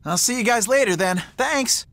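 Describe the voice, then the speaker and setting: A laid-back, teasing young rogue. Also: male voice, very high-pitched, expressive, normal speed, a bit bright, heavily acted. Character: easygoing, wisecracking young man. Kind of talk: video game cutscene voice acting.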